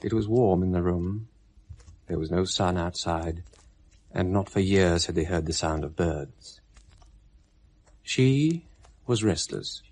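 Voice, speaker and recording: A man narrating a 1970s radio drama in a measured, quiet storytelling manner. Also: deep voice.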